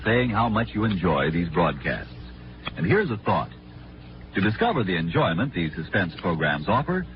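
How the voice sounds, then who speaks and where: An American man announcing for a sponsor in a polished, emphatic 1940s radio advertising style. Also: fairly low pitch. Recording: muffled, noisy 1940s radio recording.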